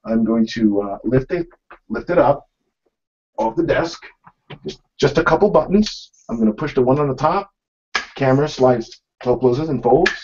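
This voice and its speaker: Man, middle-aged, informal demonstrator, conversational explaining, medium pitch, muffled lo-fi recording. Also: expressive, normal speed, darkish tone, slightly rough. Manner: explanatory, conversational, addressing viewers directly. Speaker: product demonstrator. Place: small office room, indoors. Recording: dull, lo-fi webcam stream sound.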